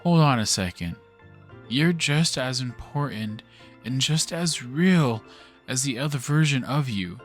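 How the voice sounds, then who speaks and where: A man playing a kind, reassuring family friend in a radio drama, talking gently and casually. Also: mid-range voice, expressive, unhurried, soft background music, close to an everyday voice.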